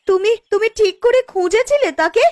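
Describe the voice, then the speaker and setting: Cartoon village wife, played by a woman: extremely high voice, pleading and lamenting. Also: moderate pace, darkish tone, dry recording. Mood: anxious disbelief. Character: distraught village wife refusing to believe bad news. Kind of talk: melodramatic cartoon dubbing.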